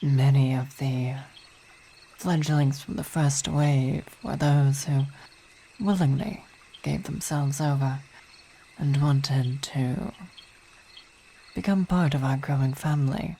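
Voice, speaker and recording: A dark, mellow-voiced vampire wife played by a woman in an intimate ASMR roleplay, weary and imperious but tender. She speaks in formal, hesitant phrases at an unhurried pace, with night insects chirping behind her. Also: very deep voice, steady tone, a little hiss or hum, heavily acted. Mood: proud, composed. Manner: measured, hesitant, formal wording.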